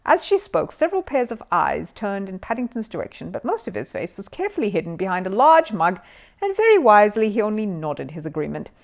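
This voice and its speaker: A woman reads a children's story aloud with a very lively, swooping delivery at a medium pitch. Her narration is scripted and expressive, leaning on key words to carry the listener along. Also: a little fast, gravelly. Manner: animated, emphatic storytelling. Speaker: storyteller reading a children's book.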